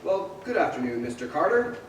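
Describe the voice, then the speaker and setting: A man's voice: fairly high pitch, big pitch swings, fairly quick, slight background noise. A man playing an earnest, hot-tempered family man given to frantic outbursts in a radio stage play. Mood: proud, excited. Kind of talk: old-time radio play acting on stage. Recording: distant echoey stage recording with music.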